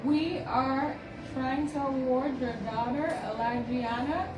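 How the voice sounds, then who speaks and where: High-voiced woman co-host, echoey room. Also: fairly even intonation, takes it slowly. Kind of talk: casual chat. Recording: echoey room, mic far off, noisy.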